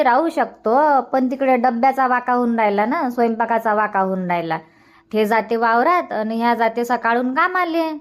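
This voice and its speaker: Older village mother for cartoon comedy, played by a woman: high-pitched, mild, plaintive, Varhadi Marathi accent. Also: natural voice. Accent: Varhadi Marathi from Vidarbha.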